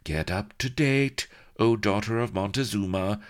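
A man's voice: on the low side, measured pace, clean tone, pitched above the performer's own voice, natural voice. A man voicing a worldly, practical young woman attendant who talks in blunt, slangy wisecracks. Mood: wisecracking.

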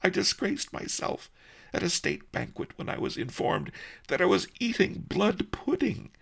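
A man's voice: high-pitched, very animated, a little slow, vocal fry, fairly bright tone, slightly rough, pitched above the performer's own voice, heavily acted. A man voicing a small, squeamish, melodramatic rabbit who wails theatrically. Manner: theatrical wailing, overwrought. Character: squeamish little male Key Rabbit, melodramatic, wailing.

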